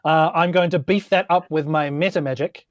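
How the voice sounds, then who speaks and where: A man's voice: fairly high pitch, fairly even intonation, a little fast, no room sound, highs cut off. A man talking casually as a tabletop game player, in informal, hesitant phrasing. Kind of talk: casual improvised game chat.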